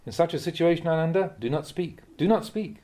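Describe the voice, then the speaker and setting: A man reading a translated Buddhist dharma talk aloud like an audiobook, in a measured, expressive way. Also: a little high.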